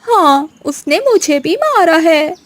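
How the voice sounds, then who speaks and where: A woman voicing an angry, quarrelsome female bird mother in an animated children's story, scolding and indignant. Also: very high-pitched, slow, no room sound, heavily acted. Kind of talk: Hindi animated storybook acting.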